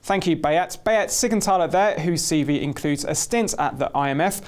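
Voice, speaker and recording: A man with a notably high-pitched voice presents a broadcast interview. He speaks in the scripted manner of a TV host, setting up questions and links for a guest. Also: normal speed, a little hiss or hum. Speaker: TV host interviewing a market analyst.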